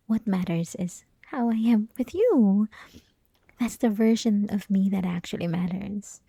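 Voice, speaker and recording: Yandere student played by a young woman: teasing, coy, sweetly possessive, slow breathy delivery with some vocal fry, intimate ASMR roleplay. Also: medium pitch, no echo, exaggerated voice. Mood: evasive, playful. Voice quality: soft, breathy, often whispering. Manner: teasing, coy, giggly, lingering pauses. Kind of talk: ASMR roleplay, intimate one-sided conversation. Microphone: close ASMR microphone, dry.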